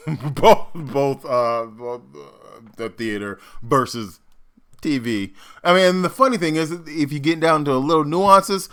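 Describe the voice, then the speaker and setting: A man giving casual, theatrical reaction commentary as an actor and host, animated and demonstrative. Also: fairly high pitch, slow pace.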